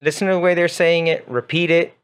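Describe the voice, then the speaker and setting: A man's voice: fairly high pitch, steady tone, brisk, clean tone. A man whose first language is Spanish co-hosting a casual chat about learning English, easygoing, reading out listener questions. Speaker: co-host, English teacher.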